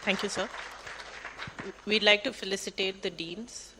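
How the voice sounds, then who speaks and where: A woman's voice: mid-range voice, fairly even intonation, a little slow, a crowd in the background, noisy background. A woman acting as emcee, making casual event announcements to a symposium audience. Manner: casual with fillers. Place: symposium hall.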